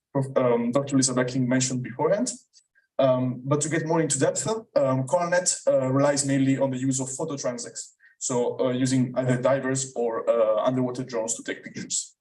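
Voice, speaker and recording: A man's voice: mid-range voice, darkish tone. A young man from Italy with an Italian accent, giving a hesitant academic talk full of ums and uhs as a student presenter. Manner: hesitant, many fillers. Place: lecture room. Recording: voice from a distance in a lecture room.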